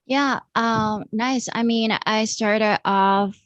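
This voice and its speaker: A woman's voice: a little high, steady tone, takes it slowly, a bit bright, no echo, highs cut off. A woman from Hong Kong answering as an interview guest in a reflective, informal, conversational way over an online call. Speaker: interview guest, architect and researcher. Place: remote online video call.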